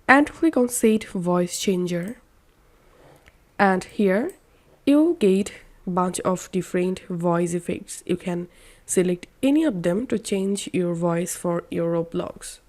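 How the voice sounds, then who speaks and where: A woman narrating a step-by-step software tutorial in simple, instructional wording. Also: mid-range voice. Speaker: tutorial host.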